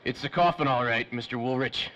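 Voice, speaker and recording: A man's voice: mid-range voice, fairly quick, muffled recording, noisy background, lightly acted. A man playing a nervous, superstitious, deferential helper to a detective in a 1930s-style radio drama. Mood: frightened. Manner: jittery, deferential.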